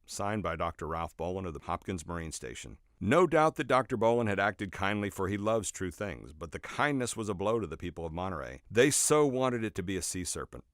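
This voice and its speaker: Man, lowish pitch, audiobook narration, measured storytelling delivery, emphatic phrasing, dry close studio sound. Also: sing-song, no room sound. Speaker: author reading own audiobook. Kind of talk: scripted narration, audiobook reading.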